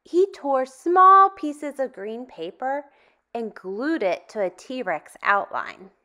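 High, lively woman reading to children. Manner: animated, expressive, deliberate phrasing with dramatic hushes. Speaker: storytime host. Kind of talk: children's read-aloud, addressing young children.

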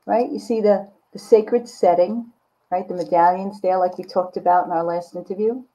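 An older woman with a husky voice, chatting casually and informally as an artist on a video call. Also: on the low side, steady tone, moderate pace, mellow, poor audio. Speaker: visual artist, guest. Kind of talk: casual chat explaining own artwork. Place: book-lined home studio, indoors. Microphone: computer mic on a video call.

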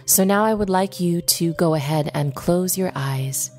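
A woman leading a guided meditation in an unhurried way, pausing between short phrases. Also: fairly low pitch, darkish tone, soft background music.

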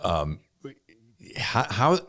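Low-pitched male podcast host. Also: big pitch swings, very slow, a bit bright. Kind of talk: casual conversational interview.

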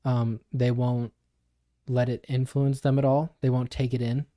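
A young man co-hosting a podcast in a small home studio, explaining ideas in a measured, even way. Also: medium pitch, no room sound. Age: young adult. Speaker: podcast co-host. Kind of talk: reading a passage then casual discussion. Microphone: studio mic on boom arm.

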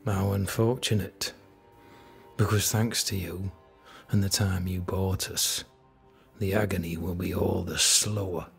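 Casting: fatalistic fort captain, grandiose and bitter. Deep register, very unhurried, doom-laden game drama. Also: male voice, fairly even intonation, creaky, faint music behind. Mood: dry scorn. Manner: slow, grim, doom-laden formal speech. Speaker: military officer character in a video game. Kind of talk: dramatic video game acting.

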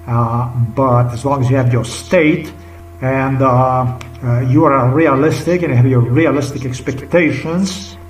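A man's voice: mid-range voice, steady tone, unhurried, slight background noise. Rambling Slovenian man on an echoey webcam. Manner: rambling, repetitive, emphatic, drops to a whisper at times. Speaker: vlogger. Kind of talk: unscripted commentary to webcam. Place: small indoor room. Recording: roomy webcam audio from a distance, faint music behind.

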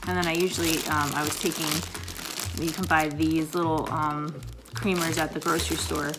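A woman with a slightly raspy voice chatting casually to camera in her kitchen, rambling with lots of fillers. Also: sits low, flat delivery, normal speed, over music. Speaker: vlogger, paramedic. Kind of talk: casual coffee chat to camera. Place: home kitchen, indoors.

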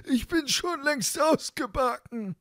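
A storybook loaf of bread cries out in a piercingly high voice. It drags its words into urgent, pleading wails, desperate to escape the oven. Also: male voice, animated, fairly bright tone, dry recording, clean studio sound, heavily acted. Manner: drawn-out wailing, urgent.

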